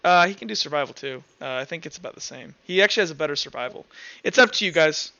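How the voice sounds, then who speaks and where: A man's voice: fairly high pitch, expressive, quick pace, sharp tone, highs cut off. A man acting as game master, casually improvising narration for a tabletop roleplaying game.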